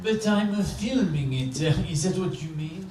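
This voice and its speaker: A man acting a dim-witted, hapless evil sidekick who talks haltingly in a comic stage show. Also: sits high, lively intonation, crowd noise. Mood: clueless. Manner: halting, literal-minded, dopey. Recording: echoey theatre, far from mic.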